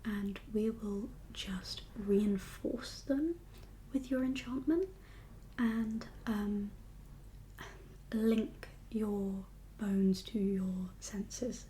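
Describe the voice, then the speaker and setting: A woman whispering an ASMR medical roleplay as a kindly doctor, gentle and reassuring in an unhurried, professional way. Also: mid-range voice, a little hiss or hum, poor audio. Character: kindly doctor for undead patients. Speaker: ASMR performer playing a doctor.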